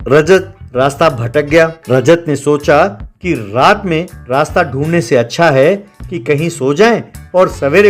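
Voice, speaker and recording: A man reading scripted narration for a children's cartoon story. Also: on the high side.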